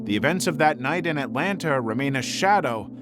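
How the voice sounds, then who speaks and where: Animated male true-crime narrator over music. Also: fairly high pitch, a little fast.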